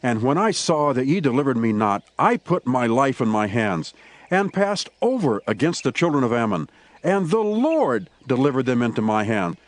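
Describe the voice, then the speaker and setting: A man's voice: medium pitch, very animated, moderate pace, no room sound, natural voice. A man acting a solemn, passionate warrior leader in a dramatized scripture reading, declaiming earnestly in archaic English. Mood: stern, defensive.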